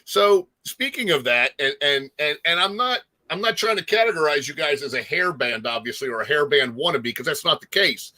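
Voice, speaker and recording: A man's voice: fairly high pitch, expressive, normal speed, hardly any pauses, pushed and bright. A middle-aged man hosting a casual music interview, speaking in an effusive, admiring manner. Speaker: talk show host.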